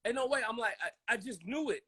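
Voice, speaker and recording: A man's voice: extremely high pitch, animated, fast, pushed and bright, husky. An American man co-hosting a casual, impassioned podcast, talking emphatically, repeating phrases and acting out imagined quotes. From military family, grew up in Germany, Colorado and Hawaii. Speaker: podcast co-host.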